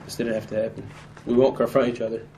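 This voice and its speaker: A teenage male student acting an aggrieved high schooler, talking in a halting, casual, slangy way. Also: flat delivery, warm tone, natural voice. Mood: conciliatory. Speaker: student actor in a peer mediation dramatization. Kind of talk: acted school role-play dialogue. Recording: noisy room ambience.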